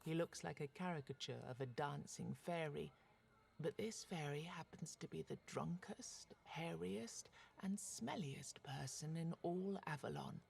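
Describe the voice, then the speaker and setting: A performer voicing a dark fantasy game storyteller, with a breathy, gravelly voice and scripted delivery. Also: medium pitch, measured pace, slight background noise. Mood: vivid, ominous.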